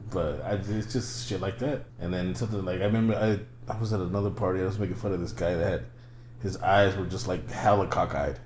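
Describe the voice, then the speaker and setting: A man's voice: deep voice, fairly even intonation, fast, darkish tone. A young man chatting on a podcast, telling rambling, crude, teasing stories with lots of stammered restarts. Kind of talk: casual chat. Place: room in Fresno. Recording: roomy echo, faint background hum.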